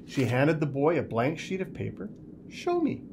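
A man reads a children's picture book aloud with deliberate, expressive storytelling in a small office. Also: medium pitch, gravelly, background noise. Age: 50s. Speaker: school superintendent reading aloud.

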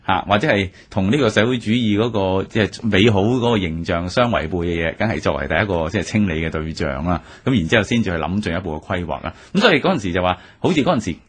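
A man's voice: low voice, animated, quick pace, hardly any pauses, slightly rough. A man with a Hong Kong Cantonese accent, co-hosting a radio talk with informal, reflective commentary. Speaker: radio programme co-host. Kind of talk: conversational commentary.

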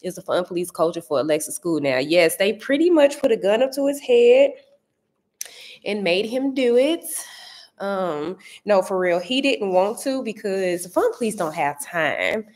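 Woman, St. Louis accent, thirties, casual vlog chat, animated, searches for words, home room, mid-range voice. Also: mid-range voice, moderate pace. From Saint Louis area. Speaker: vlogger answering viewer comments.

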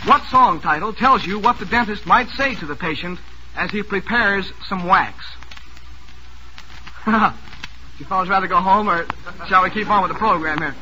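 A man's voice: sits high, moderate pace, long pauses, pushed and bright. A man hosting a 1940s radio music quiz, jovial and teasing as he reads out listeners' questions. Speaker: quiz show host. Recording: noisy old radio broadcast.

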